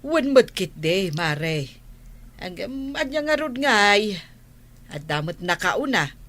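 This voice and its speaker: A woman's voice: medium pitch, big pitch swings, slow pace, a bit bright. A woman playing a village neighbor in a comedy radio drama, speaking with an Ilocano accent. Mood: chatty.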